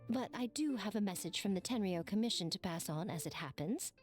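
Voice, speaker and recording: A woman's voice: medium pitch, lively intonation, brisk, a little mellow, soft background music, lightly acted. A woman playing a sly, elegant, teasing shrine lady in an anime game, playful and haughty with mock affection. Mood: wry, airy.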